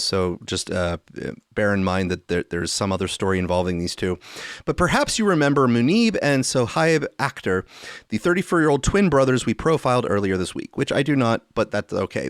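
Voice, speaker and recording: A man's voice: medium pitch, no room sound, studio quality. A man hosting a podcast, chatting and reading news stories aloud. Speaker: podcast host.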